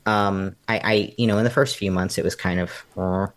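A young man speaking as an interview guest, thinking out loud with many pauses and ums. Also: low-pitched, fairly even intonation, no echo. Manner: hesitant and reflective, many ums. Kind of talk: candid personal interview.

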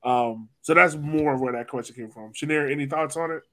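A man's voice: a little high, a little fast, a bit bright. A man hosting a casual, conversational sports discussion as a podcast host.

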